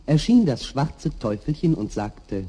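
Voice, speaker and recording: A man narrating a scripted fairy tale for a 1960s audio play in an expressive storytelling manner. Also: mid-range voice, measured pace, long reverb tail.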